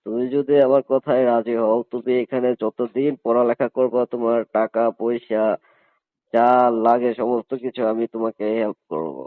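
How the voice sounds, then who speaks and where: A man's voice: medium pitch, normal speed, no room sound, lightly acted. A man playing a coercive college hostel superintendent in a phone-call drama, insistent and persuasive as he pressures a student.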